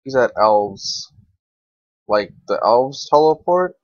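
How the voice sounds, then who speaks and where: A man's voice: mid-range voice, animated, slow, no room sound. Young man, dull lo-fi gaming commentary. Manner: slangy, swearing, rambling to himself. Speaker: gaming video creator. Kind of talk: casual unscripted game commentary. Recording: dull, lo-fi microphone.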